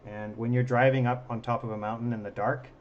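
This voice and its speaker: A slightly hoarse man hosting a casual live stream, with a self-deprecating, joking manner. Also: mid-range voice, fairly even intonation, brisk. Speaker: livestream host and telescope operator. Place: observatory during a live stream. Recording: dull sound with faint background noise.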